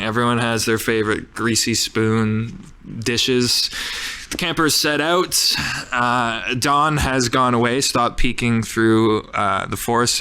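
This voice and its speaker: A man's voice: medium pitch, sharp tone, clear, resonant tone. A man improvising scene narration as a player in a tabletop roleplaying game.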